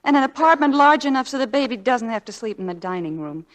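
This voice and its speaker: A young wife in a vintage radio play. Her voice sits high, earnest and encouraging, as she coaxes with devotion. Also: expressive, fast. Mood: urging, coaxing. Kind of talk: 1940s radio drama acting. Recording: vintage 1940s radio broadcast.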